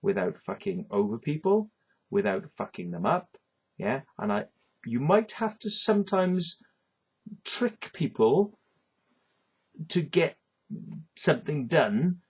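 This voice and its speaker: A man's voice: on the high side, sing-song, slow pace, long pauses, darkish tone, husky, highs cut off. A man with a Welsh accent delivering a rambling, swearing rant, tagging lines with "yeah" and "right". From Wales. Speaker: vlogger. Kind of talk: ranting monologue to camera.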